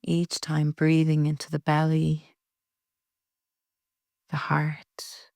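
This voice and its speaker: A woman leading a guided relaxation meditation in a soft, breathy, soothing way with long pauses. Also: low-pitched, completely flat delivery, no room sound, clean studio sound. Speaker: yoga nidra meditation guide.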